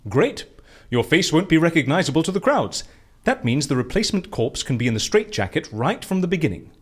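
Audio drama role: excitable eccentric fixer, theatrical and relishing, hugely animated delivery. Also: male voice, fairly high pitch, slightly rough. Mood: scheming. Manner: theatrical, relishing, drawn-out musing. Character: eccentric, excitable male boss of a death-faking agency.

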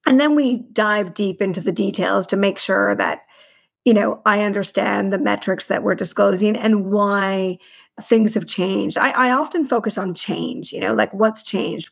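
Creaky-voiced woman explaining business in an interview. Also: medium pitch. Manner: articulate, detailed explaining with business jargon. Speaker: chief financial officer, podcast guest.

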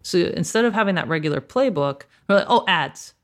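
A woman's voice: on the low side, expressive, a little fast. A woman interviewing a guest in an informal, chatty, conversational way. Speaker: interviewer, tech journalist.

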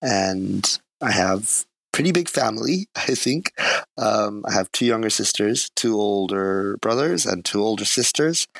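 Low gravelly man, self-deprecating artist. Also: animated, measured pace, no echo, poor audio. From Japan. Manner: soft-spoken, thoughtful, self-deprecating with small laughs. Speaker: artist guest. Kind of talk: casual interview conversation.

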